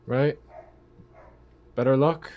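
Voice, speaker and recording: A man's voice: medium pitch, steady tone, slow pace, highs cut off. A man chatting casually as a player in an online tabletop roleplaying game. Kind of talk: casual chat during online gaming. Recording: faint background noise on voice call.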